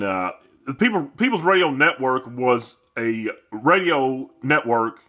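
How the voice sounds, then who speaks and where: Male seller, casual hands-on product demo, bright forward voice, middle pitch. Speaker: seller demonstrating a radio.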